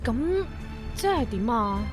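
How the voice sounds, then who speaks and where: A woman's voice: on the high side, sing-song, unhurried, music in the background. A woman playing a devoted young woman in love in a radio drama. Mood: sweet, puzzled. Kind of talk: radio drama romance dialogue.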